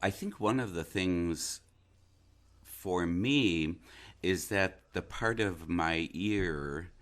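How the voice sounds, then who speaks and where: Really low deep guy voice, slow thoughtful interview chat, kinda halting, hunting for words. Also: a bit bright. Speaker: interview guest, stage actor. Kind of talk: reflective interview conversation.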